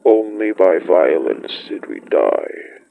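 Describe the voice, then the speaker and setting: Casting: male actor for primeval wolf elder, horror fiction podcast. Grave, poetic, slow delivery with a heavy creaky rasp. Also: low voice, very animated, narrow, tinny audio, a little hiss or hum, exaggerated voice. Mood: grave, reverent. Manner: solemn, measured, poetic. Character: ancient great wolf-like beast, solemn pack elder, recalling primeval winters. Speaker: storyteller. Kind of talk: scripted dramatic monologue, horror fiction podcast.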